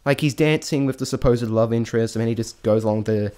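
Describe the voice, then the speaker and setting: Man, casual reviewer, rambling and halting delivery, frequent restarts, blunt informal opinions, mid-pitched voice. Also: darkish tone, smooth. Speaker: vlogger reviewing a stage show.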